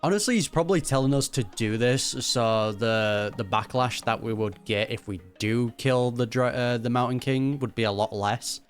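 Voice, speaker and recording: A man's voice: medium pitch, moderate pace, hardly any pauses. A man giving casual, slangy reaction commentary as he plays through a video game. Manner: slangy, informal. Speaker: gaming YouTuber. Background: faint game music.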